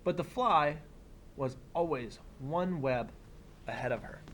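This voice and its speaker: A man teaching young children, reading a picture book aloud expressively and pausing for simple explanatory asides. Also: a little high, a bit bright, a little hiss or hum. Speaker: elementary school teacher.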